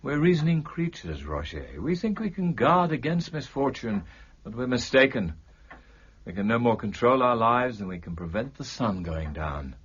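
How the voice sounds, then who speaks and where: An actor playing a somber, aloof gentleman detective, speaking in a measured, erudite, dryly detached way in a period mystery drama. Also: mid-range voice, sing-song, husky, highs cut off. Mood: somber, brooding.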